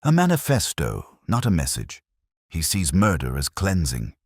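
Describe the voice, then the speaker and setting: A man voicing a precise, commanding, analytical detective in an audio story, speaking in clipped, deliberate phrases. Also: sits low, wildly swooping pitch, a little mellow, slightly rough, dry recording, studio quality, exaggerated voice. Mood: grave, intent.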